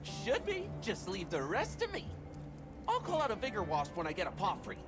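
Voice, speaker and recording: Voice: medium pitch, huge pitch swings, normal speed. A performer voicing an eager, loyal cat companion in a video game, talking in a peppy, helpful way. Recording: game audio with music behind. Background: game music.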